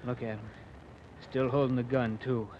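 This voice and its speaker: A man plays an eager, gruff American police sergeant in old-time radio drama. His voice sits in the middle range. The recording is muffled and noisy, with clicks. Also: medium pitch, level tone, moderate pace, close to an everyday voice. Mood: urgent. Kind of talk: 1940s radio acting.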